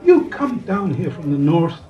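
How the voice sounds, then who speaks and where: An indignant branch manager argues back against his superior, voiced by a man. His high voice swings widely and sounds distant from the mic. This is a radio play. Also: big pitch swings, measured pace, warm tone, highs cut off. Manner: defensive, indignant. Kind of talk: amateur radio play acting. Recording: voice from far off the mic.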